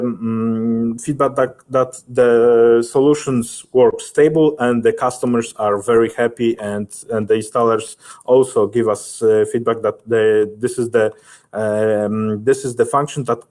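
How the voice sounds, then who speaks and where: Man, webinar presenter, slow pace, mid pitch, hesitant, halting, unscripted technical answers. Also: mellow. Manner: halting, hesitant, searching for words.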